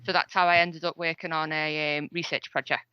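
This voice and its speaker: A woman's voice: deep voice, little pitch movement, a little fast, clean tone, dry recording, highs cut off. A woman working on a university research project, introducing herself in a friendly, plain-spoken way on a podcast panel.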